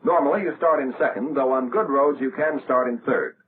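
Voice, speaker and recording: An American man narrating a 1940s military training film in a measured, instructional way, as a scripted voice-over. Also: medium pitch. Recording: tinny, band-limited, noisy old film soundtrack.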